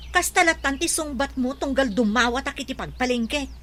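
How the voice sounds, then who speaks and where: A woman's voice: high voice, brisk, sharp tone. An actress playing an exasperated wife and mother who nags and complains indignantly in a radio drama. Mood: nagging. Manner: nagging, complaining. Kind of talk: Ilocano radio drama acting.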